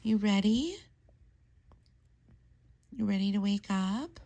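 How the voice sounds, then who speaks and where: A woman chatting casually to her camera as a home vlogger, a bit stuffy-nosed, rambling along with soft asides. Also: mid-range voice, expressive, slow, creaky. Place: kitchen at home, indoors.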